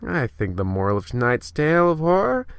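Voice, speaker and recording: A man's voice: fairly high pitch, sing-song, a little fast, clear, resonant tone, no room sound, clean studio sound. A man playing a smug, rambling host of an old-time horror radio show, hammy and pleased with himself. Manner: hammy, self-congratulating, rambling. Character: smug, bumbling horror-anthology radio host, self-satisfied. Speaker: narrator and host.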